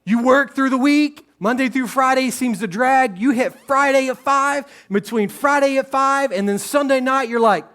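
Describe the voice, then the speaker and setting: A man, preaching a sermon on a church stage in a relaxed, joking, conversational way. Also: very high voice, normal speed, few pauses. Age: 30s. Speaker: pastor.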